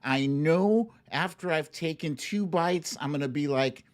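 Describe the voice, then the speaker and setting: Slow-paced man hosting a casual livestream. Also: a little high. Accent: American. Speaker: host of a live online talk show. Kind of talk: casual livestream chat and commentary.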